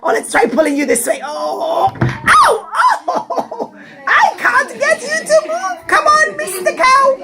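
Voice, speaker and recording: A woman's voice: high voice, expressive, normal speed, heavy background noise. A woman voicing a boastful rich man in a children's story, who brags and then strains and yelps. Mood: strained, laughing. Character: boastful rich man struggling with his cow.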